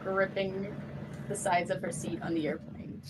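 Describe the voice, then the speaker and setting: A woman's voice: mid-range voice, flat delivery, a little slow. A woman playing a tabletop roleplay game, chatting casually and playfully with hesitant phrasing. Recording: noticeable background noise, clicks.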